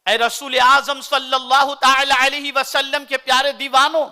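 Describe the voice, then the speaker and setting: High, bright-voiced male preacher. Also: little pitch movement, normal speed. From India. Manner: oratorical, swings from loud declaiming to soft Quran recitation. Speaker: Islamic preacher. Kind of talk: sermon.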